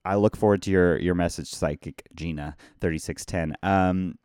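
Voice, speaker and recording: A hoarse, tired man hosting a casual live-streamed podcast from his phone, rambling and chatty as he thanks viewers by name. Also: sits low, steady tone, moderate pace, darkish tone, no room sound, studio quality. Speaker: podcast host.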